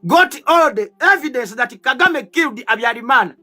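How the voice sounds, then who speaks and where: A man's voice: extremely high pitch, lively intonation, moderate pace, pushed and bright, soft background music. A man in his thirties hosting a heated political debate panel, accusatory and emphatic, hammering rhetorical questions. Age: 30s.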